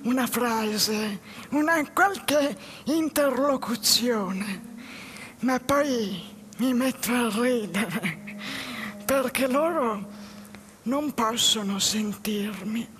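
An actress playing a lonely, dreamy, jobless man in a halting theatrical monologue. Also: on the high side, a bit bright, slightly rough, heavily acted. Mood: melancholy, wistful. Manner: slow, halting phrases with pauses. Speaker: stage actress. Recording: music behind the voice.

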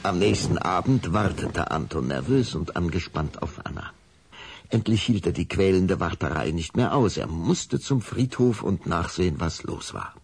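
Low, gravelly male storyteller. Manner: expressive, dramatic storytelling. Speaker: narrator. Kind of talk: scripted children's story narration.

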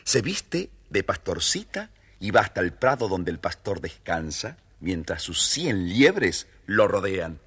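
Un hombre que narra un cuento infantil de forma teatral y juguetona, con voz ronca y velada. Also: male voice, deep voice, very animated, slow, few pauses, fairly bright tone. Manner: theatrical, playful. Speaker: storyteller narrator. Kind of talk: expressive scripted children's story narration.

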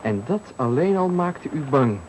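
Menacing stranger in a noisy radio thriller. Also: male voice, on the high side, very animated, unhurried, a little mellow. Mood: smug, taunting. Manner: taunting, commanding, coolly polite. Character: menacing male stranger, sadistic trickster at a bus stop. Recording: noisy 1980 radio play recording.

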